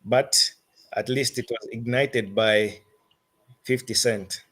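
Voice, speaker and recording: A man's voice: medium pitch, steady tone, slow pace, a bit bright. Zambian guy musician answering interview questions, takes his time, mid-range voice.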